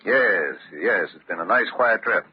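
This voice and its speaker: Authoritative, reassuring sea captain, radio drama, on the low side, muffled old recording. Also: male voice, lively intonation, a little slow, slight background noise. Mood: businesslike. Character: steady, businesslike ship's captain.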